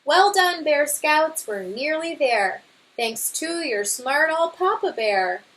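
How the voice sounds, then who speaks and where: A woman's voice: sits high, expressive, takes it slowly, a bit bright, pitched above the performer's own voice, exaggerated voice, poor audio. A woman voicing a boastful, bumbling father bear in a storybook read-aloud, with an emphatic manner. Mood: smug.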